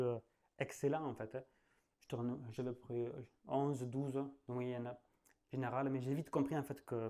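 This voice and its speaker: A man in his late thirties with a southwestern French accent, giving a sales masterclass in a relaxed, rambling way, leaning on fillers and laughing at himself. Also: mid-range voice. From southwest France. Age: 30s. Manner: chatty, loose, full of fillers, laughs at himself. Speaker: sales trainer. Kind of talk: casual spoken masterclass.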